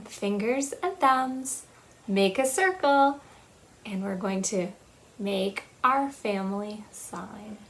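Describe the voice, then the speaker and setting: Woman, 30s, children's storytime host; high voice, slow sing-song delivery, webcam in a small playroom. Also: a little hiss or hum. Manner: sing-song, teacherly, lots of hand gestures. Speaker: children's librarian. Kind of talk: children's storytime with songs and signing. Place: small playroom with shelves, indoors.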